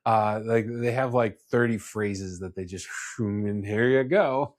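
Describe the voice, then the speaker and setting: A man's voice: fairly low pitch, slow pace. A man speaking casually as a podcast host, explaining his views with restarts and asides.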